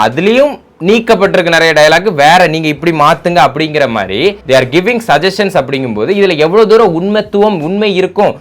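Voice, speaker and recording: A man's voice: a little high, a bit bright. A man giving opinionated reaction commentary, argumentative, full of rhetorical questions and mixing in English words. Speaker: YouTube political commentator.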